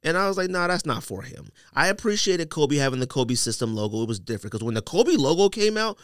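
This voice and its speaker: A man's voice: a little high, very animated, fast talker, a bit bright, clear, resonant tone, no room sound, studio quality. A man in casual podcast banter, emphatic and argumentative, repeating himself to drive a point home. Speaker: podcast co-host. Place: podcast studio.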